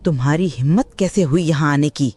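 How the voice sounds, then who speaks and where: A woman's voice: low-pitched, brisk, dark tone, slight background noise, close to an everyday voice. A woman voicing a bold, indignant plant-eating forest animal in a cartoon, with a scolding manner. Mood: angry, scolding. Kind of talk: animated moral-story character acting.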